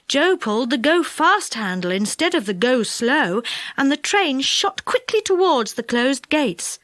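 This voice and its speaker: A woman narrating a children's storybook in an expressive, animated way. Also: high voice, no echo, studio quality. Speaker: audiobook narrator.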